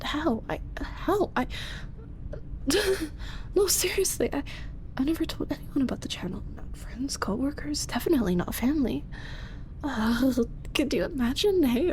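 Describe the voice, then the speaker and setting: A soft-spoken young woman roommate, played by a voice actress, who drifts into whispers. She talks slowly, her voice pitched a bit high. She is caught off guard and fumbling for words. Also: a little hiss or hum, exaggerated voice. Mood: flustered. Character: flustered young woman roommate, secret ASMR creator. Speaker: voice actress in audio roleplay. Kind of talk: ASMR roleplay.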